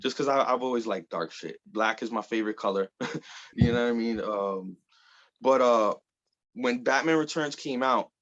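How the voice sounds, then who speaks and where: A man's voice: medium pitch, normal speed, fairly bright tone. Thirties guy from the bronx, rambling casual talk, says bro a lot, kinda dull lo-fi sound. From the Bronx, New York. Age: 30s. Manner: loose and rambling, full of "like" and "bro", swears casually. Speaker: guest artist. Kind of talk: casual interview chat.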